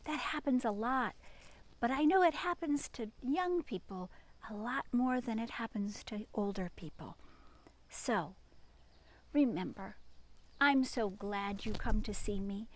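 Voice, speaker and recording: A woman teacher reading a picture book aloud and chatting to young children in an expressive, sing-song manner, with hushed asides. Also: on the high side, unhurried.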